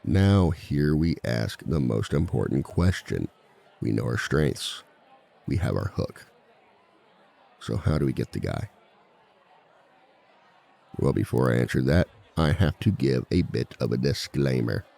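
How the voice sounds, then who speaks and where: Suave male villain, played by a man for audio roleplay: bottomless pitch, thick vocal fry, measured lecturer's delivery with dry wit. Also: lively intonation, mellow, some chatter behind, full character voice. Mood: earnest, rousing. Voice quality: very deep, gravelly. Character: suave male villain giving a dating seminar to fellow villains. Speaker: seminar speaker and relationship expert. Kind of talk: scripted ASMR roleplay monologue, seminar lecture.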